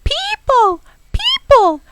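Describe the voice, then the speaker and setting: A woman voicing panicky little forest creatures in a storybook, screaming with a shrill, screechy voice. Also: wildly swooping pitch, extremely slow, background noise, full character voice. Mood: panicked screaming.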